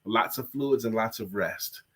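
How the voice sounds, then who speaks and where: A man's voice: lowish voice, measured pace. A congested man co-hosting a casual live-stream chat, joking and self-deprecating. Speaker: co-host and coach.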